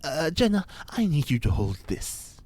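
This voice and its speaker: An actor playing an eccentric, pompous ex-cult leader and scientist in an audio drama, speaking formally and precisely with dramatic pauses. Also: male voice, a little high, big pitch swings, a little mellow, breathy edge, no echo. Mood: grave, instructive. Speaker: fictional scientist being interviewed.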